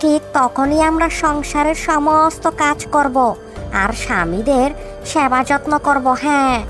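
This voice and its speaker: A woman voicing a bossy, scheming monkey housewife in a cartoon, scolding and exclaiming with a quick temper. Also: high-pitched, fairly even intonation, fairly bright tone. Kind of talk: cartoon voice acting. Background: background music.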